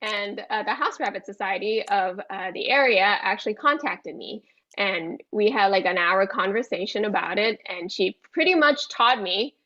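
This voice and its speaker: A woman's voice: mid-range voice, lively intonation, normal speed, bright, forceful tone. A woman from Thailand, a guest in a casual interview, talking in a friendly way and laughing often. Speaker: guest, pet social media creator. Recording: dull lo-fi sound.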